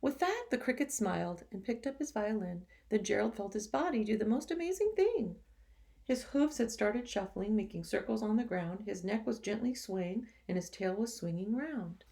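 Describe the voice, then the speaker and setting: A woman, a teacher, reading a picture book to children expressively, with gentle sympathetic asides. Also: medium pitch, animated, moderate pace, vocal fry. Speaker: teacher reading a storybook to pupils.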